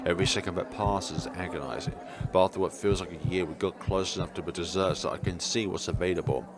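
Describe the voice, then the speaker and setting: A man with a fairly low voice reads a visual novel aloud for a let's play. His delivery is theatrical, with stumbles over words and joking asides. Music plays clearly behind him. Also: fairly even intonation, quick pace. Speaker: video game let's player. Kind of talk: reading visual novel narration aloud with playful commentary. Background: game music.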